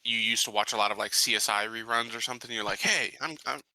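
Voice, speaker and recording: A man with a very bright, forceful voice talks through his moves as a tabletop game player. He is casual and halting, thinking aloud, with a close, dry sound. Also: medium pitch, no room sound, rough recording.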